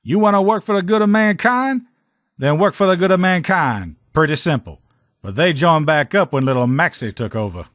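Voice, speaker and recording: A loyal post-apocalyptic lieutenant with a fairly high male voice, recorded close and dry. He talks like a folksy, salty veteran soldier in casual video game dialogue. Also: lively intonation, normal speed, fairly bright tone, dry recording. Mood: wry, opinionated. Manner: folksy, salty, plain-spoken. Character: gruff, loyal post-apocalyptic sheriff's lieutenant, power-armor-loving ex-soldier.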